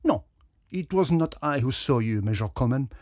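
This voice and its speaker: A man voicing a pompous, dapper little detective with a French accent, theatrical and deliberate, in a mystery story. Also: medium pitch, lively intonation, darkish tone, no echo, studio quality, close to an everyday voice. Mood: accusing. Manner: pompous, self-regarding, deliberate.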